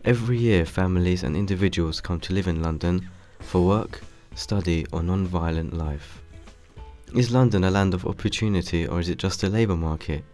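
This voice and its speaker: A man reading scripted documentary narration in a steady, formal way. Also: low-pitched, moderate pace. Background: soft music.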